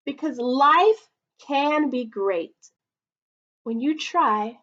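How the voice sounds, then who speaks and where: High-pitched young woman reading aloud, very animated. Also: extremely slow, dull audio. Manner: dramatic, stretching out words, checking in with listeners. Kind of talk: expressive picture-book read-aloud with questions to children. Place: small indoor playroom.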